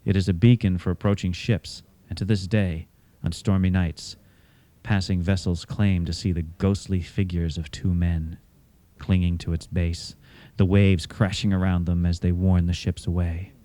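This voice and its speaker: A man hosting a radio theatre show, delivering scripted, literary narration in a measured, dramatic way. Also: sits low, level tone, mellow. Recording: cassette of radio show, music behind.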